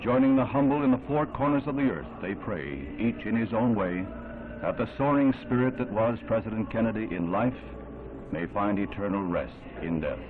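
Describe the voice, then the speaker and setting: A man's voice: fairly low pitch, expressive, normal speed, noisy background. Tinny, solemn 1960s American newsreel man. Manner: solemn, formal, dramatic oratorical delivery. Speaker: newsreel narrator. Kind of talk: 1960s newsreel scripted narration. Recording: tinny band-limited 1960s newsreel soundtrack. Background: loud music.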